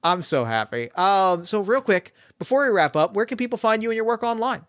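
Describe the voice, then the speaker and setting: Eager high-voiced man hosting a chat. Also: sing-song, a little fast, fairly bright tone, no room sound, clean studio sound. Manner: enthusiastic, rambling, repeats words. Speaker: game master and podcast host. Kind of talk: casual post-game chat.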